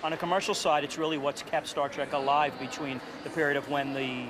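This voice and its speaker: Fast-talking man in a noisy hall. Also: a little high, fairly even intonation. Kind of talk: interview answer. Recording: noisy busy convention hall.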